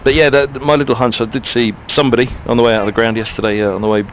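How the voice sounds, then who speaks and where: A man's voice: mid-range voice, flat delivery, rapid-fire, rough recording. A man calling cricket ball by ball for radio, his voice in a middle register. He muses and chats between deliveries and picks up the pace when the bowler runs in. Faint outdoor noise sits behind him. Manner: chatty, musing between deliveries. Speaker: cricket commentator.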